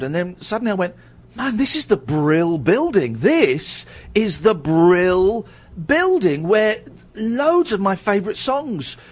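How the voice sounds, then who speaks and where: A middle-aged man hosting a radio show, chatting with a caller in a rambling, self-mocking way with lots of restarts. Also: sits high. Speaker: radio host. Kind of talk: casual radio chat with callers.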